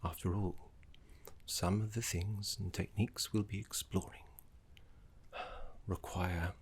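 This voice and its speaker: A man playing a genteel, fussy, courteous professor of necromancy in an ASMR roleplay, speaking in a soft, breathy, formal way with dramatic pauses. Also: deep voice, steady tone, a little hiss or hum. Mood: courteous, intimate.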